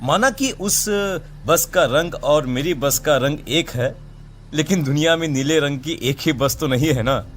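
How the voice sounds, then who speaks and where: A man playing a smooth-talking, ingratiating bus conductor in a cartoon horror story, politely coaxing. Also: a little high, measured pace, fairly bright tone, a little hiss or hum. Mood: slyly reassuring. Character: smiling, smooth-talking male bus conductor chewing paan. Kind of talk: animated Hindi horror story dubbing.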